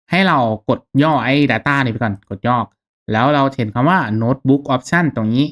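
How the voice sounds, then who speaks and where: A man narrating a casual step-by-step software tutorial in an informal, instructional manner. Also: medium pitch. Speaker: tutorial host.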